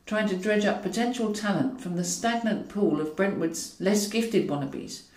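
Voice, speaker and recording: A woman's voice: medium pitch, moderate pace, darkish tone. A woman reading a comic novel as scripted narration, with a wry, dryly ironic way of telling it. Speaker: author reading own novel. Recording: roomy echo, distant from mic.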